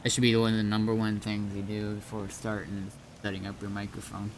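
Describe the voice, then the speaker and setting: A man's voice: lowish voice, monotone, fast talker, background noise. A man casually guiding newcomers through an online game, explaining step by step and somewhat hesitantly. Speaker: experienced game server player guiding newcomers.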